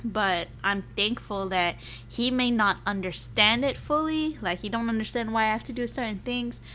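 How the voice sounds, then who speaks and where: A woman's voice: a little high, moderate pace, pushed and bright, slight background noise. A woman from Singapore in a casual, conversational chat about personal life.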